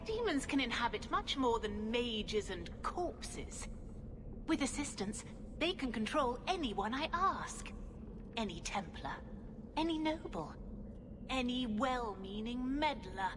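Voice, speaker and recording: A woman's voice: on the high side, sing-song, slow pace. An actress playing a haughty, scheming female blood mage villain in a video game, speaking in a grandiose, menacing, theatrical way. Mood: smug menace. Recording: long hall reverb, clear background noise.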